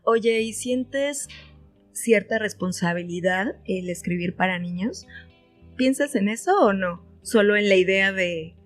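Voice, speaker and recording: A woman's voice: mid-range voice, a little slow, soft background music. A woman interviewing a guest in a casual, conversational way. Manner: interjects often with short acknowledgements. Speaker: podcast interviewer.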